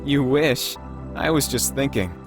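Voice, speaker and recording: A man's voice: mid-range voice, lively intonation, a little slow, natural voice. A man voicing an earnest, wistful male android companion in a visual novel, with music behind him. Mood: teasing. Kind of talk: visual novel character reading.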